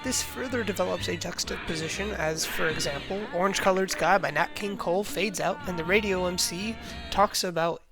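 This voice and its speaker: A man's voice: sits high, moderate pace, music in the background. A man reading a scripted analytical video essay in a formal, academic manner.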